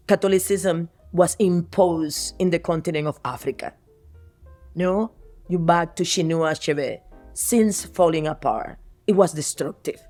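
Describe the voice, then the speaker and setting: A woman's voice: fairly low pitch, darkish tone. Cuban-accented woman, earnest and searching. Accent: Cuban Spanish. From Cuba. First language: Spanish. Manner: earnest, searching for words, many restarts. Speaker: guest artist. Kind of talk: reflective interview talk.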